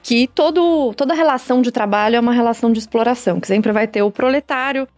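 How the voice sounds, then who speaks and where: A woman's voice: a little high, quick pace, darkish tone, faint music behind. A woman talking in a podcast discussion, explaining her points with emphasis.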